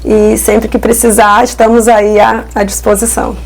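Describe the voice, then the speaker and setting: A woman's voice: a little high, level tone, normal speed, creaky. Carioca woman explaining as a doctor. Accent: Carioca Portuguese. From Rio de Janeiro, Brazil. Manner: explanatory, frequent tag questions and soft diminutives. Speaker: pediatric pulmonologist guest. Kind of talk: interview explaining child health. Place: podcast studio.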